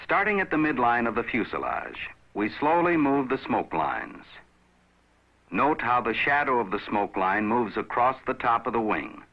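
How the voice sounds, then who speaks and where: A man narrates a scripted educational film in a measured, instructive manner, addressing the viewer directly. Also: medium pitch. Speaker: narrator of a pilot training film. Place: recorded voice-over. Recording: dull, aged film soundtrack.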